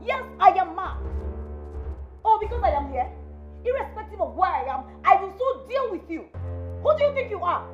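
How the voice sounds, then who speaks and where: A woman's voice: mid-range voice, sing-song, measured pace, pauses often, mellow, muffled recording. An actress playing a defiant young woman who confronts her friend's cruel sister. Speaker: actress in a family drama. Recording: echoey room with music behind.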